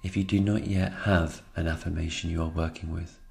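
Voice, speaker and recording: A man guiding a meditation in a slow, calm and soothing narration, leaving unhurried pauses between phrases. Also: sits low, vocal fry. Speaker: guided meditation instructor.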